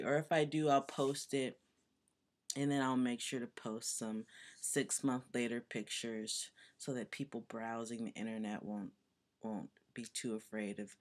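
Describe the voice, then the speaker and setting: A young man with a voice that is still deepening, giving a chatty, earnest video update full of fillers. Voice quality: voice still deepening, not yet fully low. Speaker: vlogger. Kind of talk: casual personal video update.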